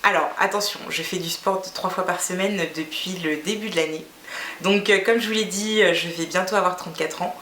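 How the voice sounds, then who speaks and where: A woman's voice: fairly low pitch, fairly even intonation, fairly quick, a bit bright, roomy sound, slight background noise. A woman in her thirties from Guinea whose first language is Fula, chatting casually to camera with many fillers and restarts. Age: 30s. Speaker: beauty vlogger.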